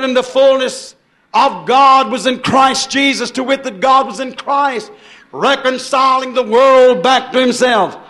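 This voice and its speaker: A man's voice: very high-pitched, bright, forceful tone. A man preaching an impassioned sermon, quoting scripture in emphatic, repetitive, declamatory phrases.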